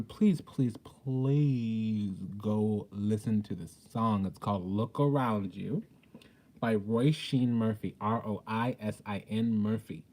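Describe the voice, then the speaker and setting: A man giving an informal spiritual tarot reading in a blunt, sassy, profane way with emphatic, theatrical delivery. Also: medium pitch. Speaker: tarot reader, host.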